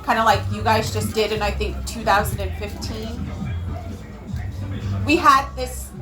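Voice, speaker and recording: A woman from California with an American accent, speaking emphatically and deliberately as a panellist at a public event. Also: a little high, music in the background. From California, United States.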